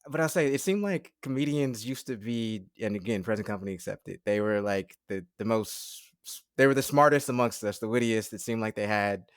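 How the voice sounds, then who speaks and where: A man's voice: fairly low pitch, fairly quick, few pauses, clean tone, dry recording, clean studio sound. A man in his forties co-hosting a podcast, asking long, rambling questions with fillers and easy laughs. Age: 40s. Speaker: podcast co-host. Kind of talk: casual podcast interview.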